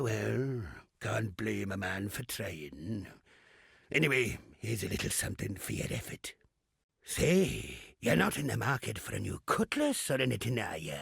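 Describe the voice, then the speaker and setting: Grizzled old skipper for a game, super raspy n croaky, lusty grumbly old salt. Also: male voice, medium pitch, fairly bright tone, dry recording, studio quality, heavily acted. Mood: disappointed, cursing, then friendly. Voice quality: gravelly, raspy. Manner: salty archaic sailor's way of talking, theatrical. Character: lusty, crusty old male pirate sea captain, roguish and grumbling. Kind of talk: video game character acting.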